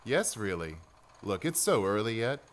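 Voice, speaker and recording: A man playing a middle-aged killer who poses as a friendly, smooth-talking neighbor in a noir radio play. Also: on the low side, very animated, moderate pace. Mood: flirtatious, probing. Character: predatory middle-aged male strangler posing as friendly neighbor. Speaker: actor in a community radio play.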